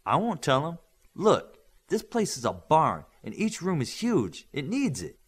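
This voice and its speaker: A man reading the part of an excitable, confident, creative girl with powers in an audiobook, eager and bossy. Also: fairly high pitch, measured pace, husky, pitched above the performer's own voice, full character voice. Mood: persuasive.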